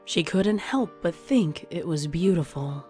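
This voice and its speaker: A woman's voice: on the low side, expressive, measured pace. A woman reading scripted audiobook narration as the storyteller. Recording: music playing behind the voice. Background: soft music.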